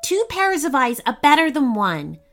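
A woman's voice: high-pitched, very animated, moderate pace, a bit bright, voice pushed up, full character voice. A woman voicing a kind-hearted, curious human girl with an eager, helpful manner in a storybook.

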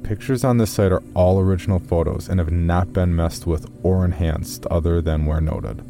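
A man playing an earnest, guarded amateur caver, narrating his journal in a measured, matter-of-fact way for an audio horror drama. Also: sits low, steady tone, music in the background. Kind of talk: first-person scripted horror narration.

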